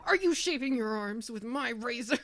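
A young woman with a naturally deep voice, co-hosting a podcast and candidly sharing personal stories in casual chat. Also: lively intonation, moderate pace, a bit bright. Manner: candid, reflective storytelling. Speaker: podcast co-host.

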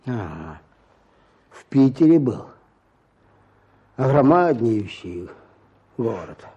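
Husky, weary peasant man. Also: medium pitch, big pitch swings, lo-fi sound, full character voice. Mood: earnest recounting. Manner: rustic folksy speech, halting, plaintive. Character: weary peasant petitioner, back from prison, aggrieved and stubborn. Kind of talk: Russian radio drama acting.

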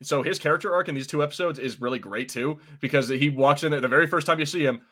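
A man's voice: on the high side, fast talker, fairly bright tone. A man hosting a podcast with casual, jokey banter and reviews, often crude and sweary. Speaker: podcast host.

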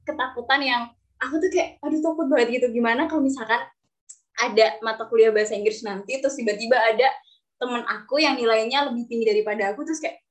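High-voiced young woman, casual and expressive. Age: young adult. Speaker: discussion host. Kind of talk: casual group chat.

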